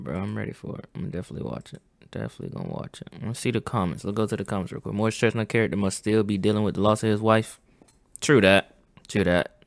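A young man hosting a casual reaction video at home, talking excitedly in slang and saying bro a lot, on a headset mic. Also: fairly low pitch, gravelly, no echo, clean studio sound. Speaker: reaction channel host. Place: home gaming setup, indoors.

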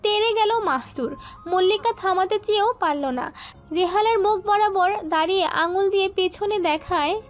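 A woman narrates a dramatic story from a script. Her voice is very high, the recording is a little muffled, and soft music plays behind her. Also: normal speed. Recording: muffled band-limited sound, music bed.

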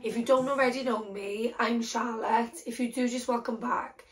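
A young woman with a hoarse, croaky voice from a sore throat, chatting casually through a haul video in a small bedroom, rambling with lots of ums and self-corrections. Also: fairly high pitch, steady tone, normal speed, rough recording. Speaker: vlogger.

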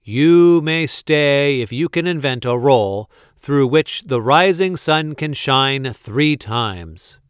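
Guy doing a bossy angry duke from a kids storybook, loud commanding delivery, dry sound. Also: on the high side, steady tone, very slow, hardly any pauses, smooth, dry recording, pitched above the performer's own voice. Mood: stern, commanding. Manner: roaring, commanding, emphatic. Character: imperious, hot-tempered Duke, booming and demanding. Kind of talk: storybook read-aloud character voice.

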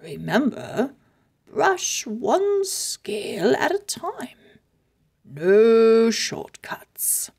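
A patient old wizard from a children's storybook, acted by a woman. He is firm and instructive, taking his time over each phrase. The voice is measured, a little breathy and hushed at times. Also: fairly high pitch, huge pitch swings, heavily acted.

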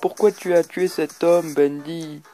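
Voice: medium pitch, steady tone, unhurried, over music, close to an everyday voice. An amateur dub performer playing a worried cartoon wolf who questions a friend reproachfully. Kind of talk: amateur French comic dub.

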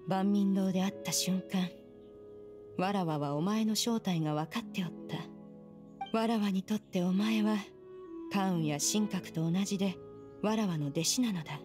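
A proud immortal crane woman from a fantasy game, voiced by an actress, speaks in lofty archaic phrases at a middling pitch. She is stern and formal, like an ancient master telling a tale, with loud music behind her. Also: moderate pace, close to an everyday voice. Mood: solemn. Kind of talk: scripted Japanese game voice acting, storytelling.

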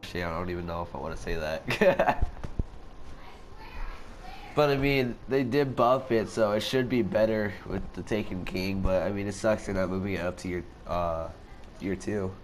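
A man's voice: low-pitched, moderate pace, a little hiss or hum. A young man with a sick, cracking voice, giving laid-back commentary while playing a game. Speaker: gaming channel host. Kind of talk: casual gameplay commentary.

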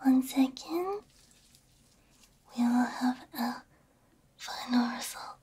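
Breathy whispering woman, slow ASMR roleplay. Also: high-pitched. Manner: gentle, soothing, unhurried, soft hums between phrases. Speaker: ASMR VTuber playing a robot repair technician. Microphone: close binaural ASMR microphone.

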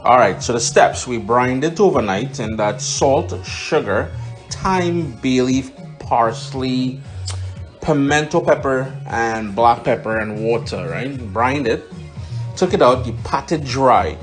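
A man's voice: medium pitch, animated, slow. A man with a Caribbean accent and a mid-range voice chats through cooking steps for his followers. He is instructive and informal, with playful interjections, speaking into a phone in his kitchen. Faint music plays behind him. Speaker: home cook and cooking vlogger. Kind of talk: casual cooking demonstration to followers. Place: home kitchen. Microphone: handheld phone filming the food.

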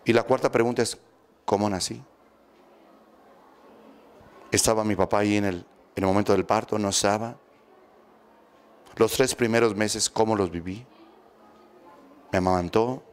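A Mexican man in his fifties, a priest, giving a homily in a steady, instructive way, repeating phrases for emphasis, into a close handheld microphone. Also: lowish voice, moderate pace, long pauses. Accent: Mexican Spanish. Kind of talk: sermon on family healing. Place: small church room with statues, indoors. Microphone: handheld mic close to mouth.

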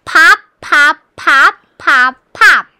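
Very high, childlike voice reading slowly. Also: expressive, sharp tone, no echo, studio quality. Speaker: children's read-aloud host. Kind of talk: animated, slow storybook read-aloud for early readers.

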